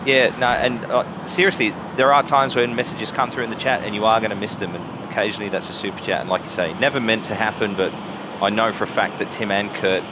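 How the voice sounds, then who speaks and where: A man's voice: on the low side, fairly even intonation, quick pace. A man co-hosting a live stream in casual, earnest chat. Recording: dull lo-fi remote link, loud vehicle noise behind.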